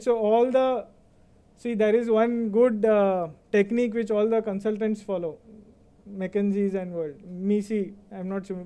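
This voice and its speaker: A man's voice: high voice, warm tone. A middle-aged Indian man whose first language is Kannada, giving a motivational convocation speech in Indian English. He talks conversationally and often checks in with "right?". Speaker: convocation speaker.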